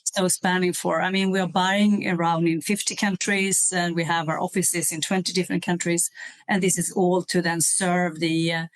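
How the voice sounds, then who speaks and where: A woman's voice: fairly low pitch, level tone, normal speed. Woman, chatty guest with many fillers. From Sweden. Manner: hesitant with frequent fillers, warm and chatty. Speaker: corporate sustainability executive, guest. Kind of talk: interview conversation. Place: in Switzerland.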